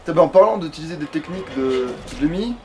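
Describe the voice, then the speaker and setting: Higher-pitched man gaming, noisy recording. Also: quick pace. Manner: informal, profanity-heavy. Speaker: let's play gamer. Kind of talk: casual game commentary with a friend. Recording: clear steady background noise.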